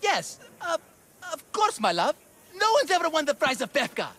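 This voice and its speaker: An actor playing a timid, shifty, eager-to-please husband with a flustered, theatrical manner in a video game cutscene. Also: male voice, very high-pitched, wildly swooping pitch, moderate pace, sharp tone, exaggerated voice.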